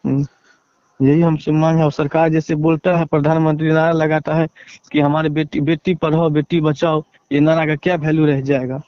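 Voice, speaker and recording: A man with a Bihari accent giving a halting, deferential account in a phone interview, leaning on repeated filler phrases. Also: a little high, little pitch movement, no room sound. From Bihar, India. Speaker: interviewee, relative seeking justice. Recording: dull lo-fi phone call.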